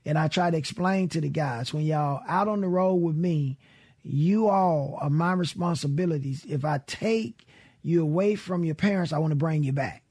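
A middle-aged man from with a raspy voice, telling stories in a relaxed radio interview. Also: on the high side. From Mississippi. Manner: relaxed storytelling with Southern grammar and drawn-out pauses. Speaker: guest blues musician.

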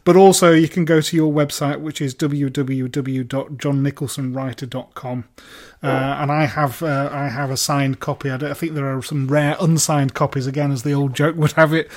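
A man's voice: on the high side, steady tone, moderate pace. A man hosting a football podcast, chatting enthusiastically with a guest and often repeating words as he starts a thought. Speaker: podcast host. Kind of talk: casual interview chat.

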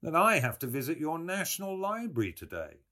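Male reader as young English gentleman, literary fiction. Self-assured, polite, formal, raised pitch. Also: huge pitch swings, a little fast, exaggerated voice. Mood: courteous.

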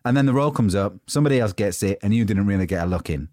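Guy with a cold doing his motivational podcast, croaky, kinda low voice, chatty. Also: expressive, rapid-fire, mellow, no echo, studio quality. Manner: informal, chatty, unscripted pep talk. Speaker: podcast host. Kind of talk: motivational talk.

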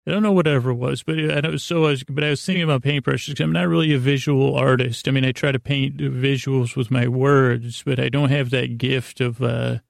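A middle-aged man rambling through a bedtime monologue as a podcast host, halting, often interrupting himself and self-deprecating. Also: mid-range voice, fairly even intonation, creaky, dry recording, clean studio sound.

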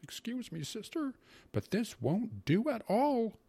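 A pompous, self-pitying uncle from a classic storybook. His voice jumps high and loud as he grumbles and complains, dragging out words with wounded, hypochondriac emphasis. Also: sing-song, unhurried, creaky, slightly rough, exaggerated voice. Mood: indignant grumbling.

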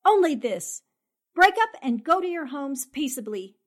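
An actress playing a fearless, sharp-tongued 1940s newspaperwoman in a radio drama, brisk and commanding. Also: high-pitched, sing-song.

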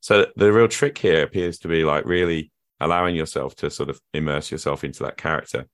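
Very deep-voiced man hosting a chat. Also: brisk. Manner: reflective, thinks aloud at length. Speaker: podcast host. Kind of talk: conversational interview.

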